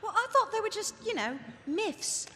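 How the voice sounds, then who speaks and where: A woman's voice: very high-pitched, very animated, normal speed, sharp tone, exaggerated voice. A woman playing a naive, confused member of a secret brotherhood, doubtful and questioning, in live radio theatre. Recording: reverberant hall, live stage performance.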